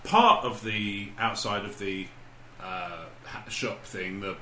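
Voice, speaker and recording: A man's voice: on the low side, fairly even intonation, slow pace, bright, forceful tone. A man co-hosting a podcast, chatting casually and comically. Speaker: podcast co-host. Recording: echoey room with faint noise.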